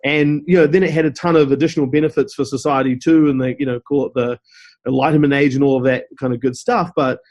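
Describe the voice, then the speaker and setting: A man from New Zealand with a New Zealand accent, answering interview questions in a steady, explanatory way and often saying "you know". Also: medium pitch, fast talker. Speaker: guest, company CEO.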